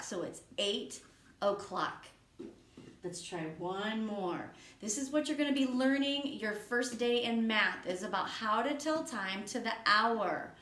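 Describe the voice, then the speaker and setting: A woman's voice: a little high, a bit bright. A woman teaching a lesson to young children, speaking patiently and encouragingly and explaining things step by step. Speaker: elementary school teacher. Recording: echoey room.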